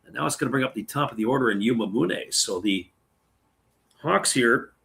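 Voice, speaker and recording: A man calling a live baseball game play by play in a relaxed, chatty way, reading viewer comments between pitches, his voice going raspy. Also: a little fast. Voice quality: gravelly. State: voice getting raspy.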